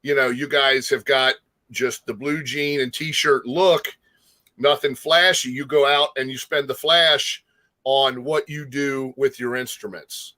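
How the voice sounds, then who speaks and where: A man's voice: mid-range voice, fairly even intonation, measured pace, sharp tone. A middle-aged man hosting a casual music interview, speaking in an effusive, admiring manner. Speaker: talk show host.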